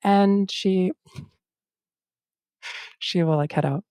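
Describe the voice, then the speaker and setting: A woman acting as game master in casual table talk and narration, halting and full of false starts, recorded close at home. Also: on the low side, warm tone, clear, resonant tone, no echo.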